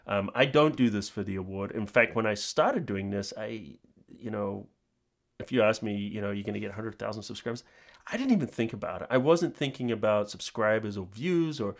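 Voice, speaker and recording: A man's voice: fairly low pitch, a little fast, creaky. A middle-aged man, chatting informally and in a rambling way to his viewers as a channel host. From New Zealand. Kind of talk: casual talk to viewers.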